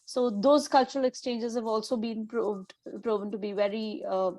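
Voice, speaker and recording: A woman's voice: a little high, steady tone, normal speed, darkish tone, no room sound. A woman teacher from India, speaking in a webinar with frequent hesitations and fillers. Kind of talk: webinar discussion.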